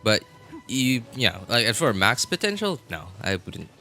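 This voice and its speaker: A man chatting casually on a game livestream, often halting and rephrasing as he answers viewers. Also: medium pitch, very animated, creaky, bright, forceful tone. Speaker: live streamer. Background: music.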